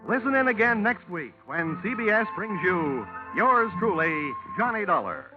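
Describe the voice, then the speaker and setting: A man's voice: high voice, sing-song, normal speed. A man reading a network promotion and the closing credits with a formal, emphatic 1940s announcer delivery. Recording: dull old radio broadcast with music.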